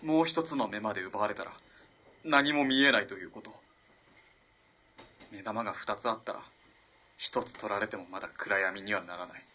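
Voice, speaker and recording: Husky, earnest young male student played by an actor. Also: on the low side. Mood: earnest, reasoning. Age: young adult. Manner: earnest, halting, swinging between hushed and impassioned. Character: earnest, lovestruck young male student in early 1900s Japan. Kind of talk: dramatic radio play acting.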